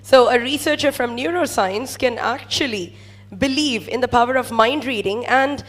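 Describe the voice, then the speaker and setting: A woman's voice: fairly high pitch, moderate pace. A woman acting as host, prompting a speaker and responding in front of an audience.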